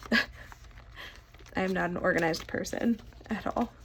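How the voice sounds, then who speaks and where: A woman's voice: fairly low pitch, slow, vocal fry, a bit bright, slight background noise. Stuffy-nosed chatty young woman vlogger. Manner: rambling, self-deprecating, chatty. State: stuffy nose. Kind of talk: casual chat while sorting cards.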